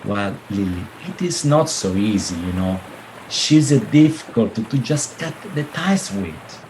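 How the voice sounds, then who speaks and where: A man playing a suave, evasive Italian husband in a radio play, hesitant and smooth-talking. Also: on the low side, animated, a little slow, darkish tone, a little hiss or hum, rough recording. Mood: uneasy, evasive. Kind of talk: radio drama acting.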